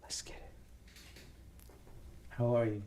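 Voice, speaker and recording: Mellow-voiced male interview host. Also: takes it slowly, slight background noise. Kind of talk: scripted narration and host talk.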